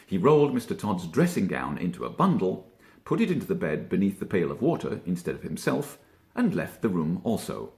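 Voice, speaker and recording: A man narrating a children's story theatrically, expressive and deliberate, drawing out key words. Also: low voice, creaky. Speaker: storybook reader, stage actor.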